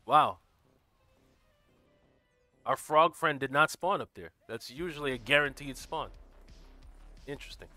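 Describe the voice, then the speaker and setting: A man hosting a gaming livestream in casual commentary, greeting viewers by name and walking through his build, a little stuffy from a cold and clearing his throat now and then. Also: mid-range voice, unhurried, long pauses, pushed and bright, soft background music. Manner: walks through game build details. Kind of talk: casual live gameplay commentary.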